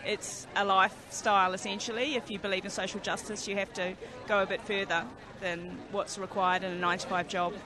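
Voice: mid-range voice, flat delivery, brisk. An union member answering street interview questions, earnest and hesitant, with many fillers. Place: outdoors at a crowded rally. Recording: crowd noise behind.

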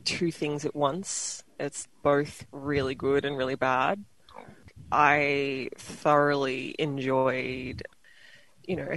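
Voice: mid-range voice, slight background noise, low-quality recording. An Australian panel guest in their late twenties, speaking thoughtfully in casual chat with many fillers. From Melbourne, Australia. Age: 20s. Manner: thoughtful, unhurried, lots of "like" and "you know".

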